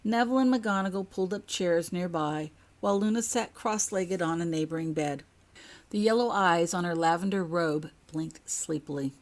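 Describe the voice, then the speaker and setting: A woman's voice: fairly low pitch, moderate pace. A woman narrating a scripted story as an audiobook, reading her own fiction.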